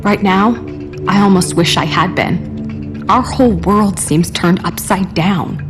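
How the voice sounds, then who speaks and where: A woman's voice: mid-range voice, slow pace, close to an everyday voice. Troubled heroine, female actor: earnest, caring, mid register, dramatic game dialogue over music. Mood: worried, pained. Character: troubled, caring woman superhero, earnest and worried. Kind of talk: emotional video game dialogue.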